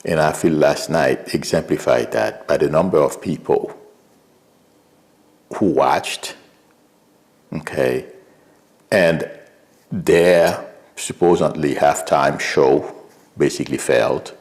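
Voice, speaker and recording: Haitian man, Creole native, rambling psychic stream host, low slow gravelly delivery. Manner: rambling, restarts often, soft chuckles. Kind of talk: casual commentary livestream.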